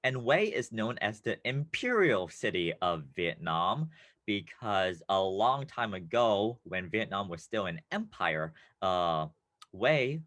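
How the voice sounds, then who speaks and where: A man's voice: low voice, no echo. Upbeat man teaching a class lesson. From United States. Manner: upbeat, playful, with bursts of excitement. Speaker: teacher presenting a class video.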